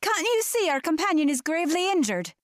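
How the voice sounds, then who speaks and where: Gentle scholarly creature in female human form, audio drama, woman actor: high voice, lively melody, formal, fussily caring. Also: fairly quick. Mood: fretful, caring. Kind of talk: fantasy audio drama acting.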